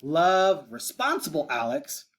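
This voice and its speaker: An earnest young boy, acted out by a man reading a storybook, coaxing and persuasive. The pitch jumps around in a very lively way as he pleads his case. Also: slow pace, full character voice. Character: eager pleading young boy writing letters to mom. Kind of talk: storybook read-aloud for children.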